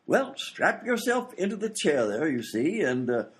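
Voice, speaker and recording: Fussy British scientist, absent-minded, blustering, wildly swooping high-ish pitch, radio comedy, music behind. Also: male voice, sing-song, unhurried. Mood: dithering. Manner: dithering, blustering, full of hesitations. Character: fussy, absent-minded, excitable married male inventor doctor. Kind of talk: comedy radio play acting.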